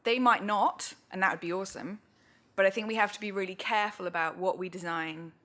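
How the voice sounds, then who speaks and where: A woman's voice: medium pitch, a little fast. A woman researcher speaking informally and self-deprecatingly as she answers questions after a talk. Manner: informal, self-deprecating, animated slang phrasing. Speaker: guest speaker, museum education researcher. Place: indoor talk venue with audience.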